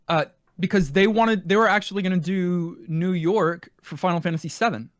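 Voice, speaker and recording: A man's voice: sits high, a little fast, no room sound. A man co-hosting a casual video game podcast, talking in an explanatory way. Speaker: podcast co-host.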